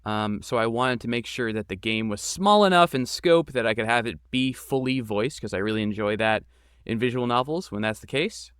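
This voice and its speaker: A man's voice: mid-range voice, normal speed, a bit bright, clean tone, no echo, clean studio sound. A man answering interview questions casually as a podcast guest, with an informal, enthusiastic and self-deprecating manner. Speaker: podcast guest, game creator and voice actor.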